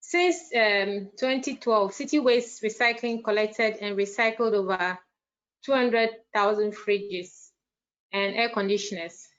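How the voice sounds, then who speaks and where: A woman presents slides in a mid-pitched voice. She delivers it as a formal presentation. The audio is somewhat dull and lo-fi, coming over an online call. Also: unhurried, a bit bright. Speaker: managing director giving a presentation.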